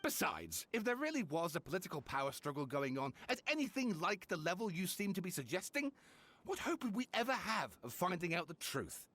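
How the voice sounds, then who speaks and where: A man's voice: high voice, wildly swooping pitch, brisk, a bit bright, exaggerated voice. An actor playing an exasperated male teacher in a video game, lecturing emphatically. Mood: exasperated, firm. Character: exasperated male scholar reasoning patiently with a student. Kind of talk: English video game voice acting.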